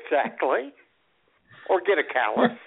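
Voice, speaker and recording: A man's voice: high-pitched, very animated, a little slow, vocal fry, breathy edge. A man co-hosting a podcast over a cordless phone, trading dry puns and jokes. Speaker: podcast co-host. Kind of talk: casual comedic chat. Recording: tinny phone line.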